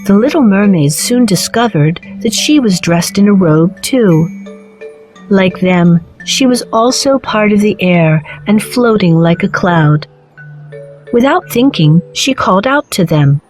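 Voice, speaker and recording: A woman reading a children's storybook aloud in a slow, clear and even narration. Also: mid-range voice, faint music behind.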